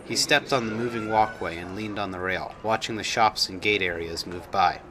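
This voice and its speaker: A man's voice: lowish voice, fairly even intonation, moderate pace, noisy background. A man reading his own story aloud as a scripted narration.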